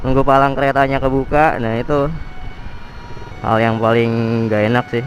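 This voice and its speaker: Young man, nasal cold voice, rambling slangy motovlog talk, loud traffic noise. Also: mid-range voice, steady tone, moderate pace, dull audio. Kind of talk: casual vlog narration while riding. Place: on a motorcycle in city traffic. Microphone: helmet or action cam mic.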